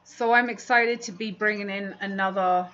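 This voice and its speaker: Casting: female livestream host, London accent, chatty and rambling, mid-pitched voice, dull lo-fi audio. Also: steady tone, moderate pace, a bit bright. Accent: London English. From London, England. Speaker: livestream host, fishkeeping hobbyist. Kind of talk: casual livestream chat answering viewers.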